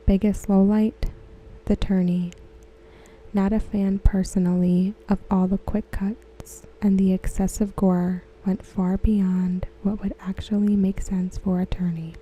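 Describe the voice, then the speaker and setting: Woman, ASMR reading, hushed and gentle, breathy near-whisper, dark mellow tone, flat even pitch, close mic. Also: poor audio. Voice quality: soft, breathy, often slipping into whisper. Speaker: ASMR host. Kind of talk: ASMR, soft-spoken reading of discussion comments.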